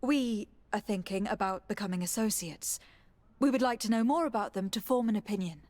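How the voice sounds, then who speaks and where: A woman's voice: mid-range voice, brisk, darkish tone, no echo, lightly acted. An actress playing a composed, diplomatic noblewoman envoy in a video game, formal and polite. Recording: dry voice, faint game music. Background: faint music.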